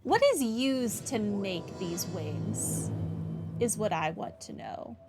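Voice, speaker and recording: A woman's voice: mid-range voice, expressive, slow, gravelly. A woman giving casual, wry playthrough commentary, musing aloud as she plays a video game. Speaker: game streamer. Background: game music.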